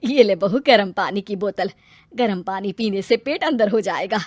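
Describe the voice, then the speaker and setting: A woman's voice: mid-range voice, animated, moderate pace, a little mellow, dry recording, clean studio sound, lightly acted. A woman voicing an older, hardworking mother-in-law in a cartoon story, caring and coaxing in manner. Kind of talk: cartoon story character acting.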